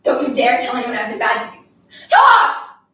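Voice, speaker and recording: A woman's voice: sits high, animated, unhurried, a bit bright. A woman voicing a bullying schoolgirl in a dramatic story reading, scolding and demanding with emphatic, desperate insistence. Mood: desperate shouting. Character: bullying schoolgirl, taunting, desperate, commanding a silent classmate. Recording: echoey room, distant mic, faint noise.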